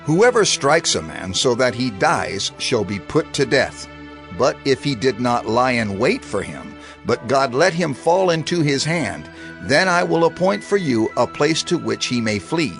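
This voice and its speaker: A man acts out a solemn male lawgiver in a dramatized scripture production. His voice is gravelly and swings expressively through emphatic declarations. Faint music runs underneath. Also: medium pitch, sing-song, measured pace, a bit bright, heavily acted. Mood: solemn, stern.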